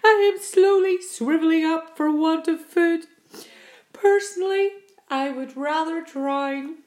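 A woman's voice: high voice, animated, moderate pace, reverberant, voice pushed up. A woman voicing a gloomy, melodramatic blind male earthworm from a storybook, wailing and indignant about certain doom. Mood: gloomy, doom-laden.

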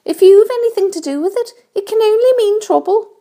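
A woman's voice: extremely high pitch, lively intonation, fairly quick, warm tone, voice pushed up. A woman voicing a gloomy, pessimistic storybook earthworm who grumbles and complains. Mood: gloomy, grumbling.

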